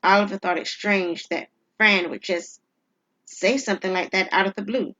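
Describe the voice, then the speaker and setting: A woman narrating a scripted story as a storyteller, measured and dramatic in her delivery. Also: medium pitch, fairly even intonation, sharp tone. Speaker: storyteller, writer and performer. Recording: dull, lo-fi audio.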